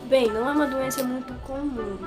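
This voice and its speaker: A young Brazilian woman vlogging casually, with a Brazilian Portuguese accent and a somewhat high voice. She rambles along with plenty of fillers, talking straight to her viewers. Background music plays clearly under her voice. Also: fairly even intonation, a little fast. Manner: chatty, rambling, addresses viewers directly with frequent fillers. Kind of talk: casual personal storytelling to camera.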